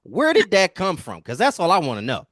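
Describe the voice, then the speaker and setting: A man's voice: high voice, huge pitch swings, brisk, fairly bright tone, dry recording, studio quality. A man working as an entertainment interviewer, asking conversational questions in a complimentary, encouraging way.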